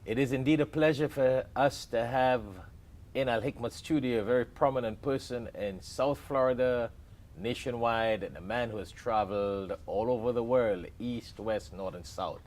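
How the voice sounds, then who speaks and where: Here is a male talk show host with a mid-range voice, welcoming a guest in a studio. He talks warmly and eagerly, with plenty of friendly back-and-forth. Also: medium pitch, moderate pace. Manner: welcoming, chatty, enthusiastic. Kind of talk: hosted interview. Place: television studio.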